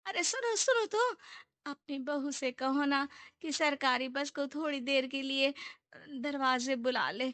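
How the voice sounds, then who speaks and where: A woman's voice: high voice, a little slow, a bit bright, no echo. A woman voicing a gossipy, teasing neighbour in a moral story cartoon, with an exaggerated needling manner. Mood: pleading. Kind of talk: animated moral-story character acting.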